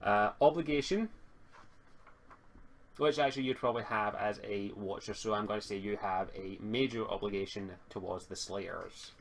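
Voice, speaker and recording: A man acting as game master, casually explaining tabletop game rules and reading items haltingly from a rulebook. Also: on the low side, vocal fry, a little hiss or hum.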